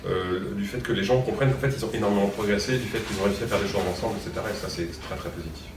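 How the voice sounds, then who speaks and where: A man's voice: on the low side, roomy sound, far from the mic, noisy background. A young man from Montreal, explaining his escape game business in an interview, with many fillers. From Montreal, Quebec. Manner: fast, filler-heavy explaining. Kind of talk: promotional interview.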